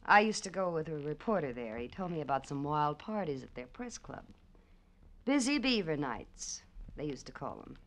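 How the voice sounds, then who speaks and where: An American woman acting a sly, sharp-tongued, flirtatious wife in a vintage radio crime drama. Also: low voice, very animated, normal speed. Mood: coy, teasing. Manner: sardonic, teasing. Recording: hissy vintage radio recording.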